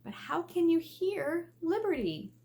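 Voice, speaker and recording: Slow-paced woman teacher reading aloud. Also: very high voice. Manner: expressive, asking the listeners questions, emphatic drawn-out key words. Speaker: elementary school teacher. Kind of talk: read-aloud lesson for children. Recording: faint background noise.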